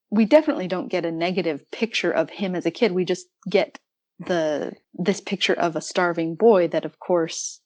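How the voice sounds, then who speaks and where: Low-pitched woman, chatty podcast guest. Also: a little mellow, no room sound. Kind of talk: casual literary discussion.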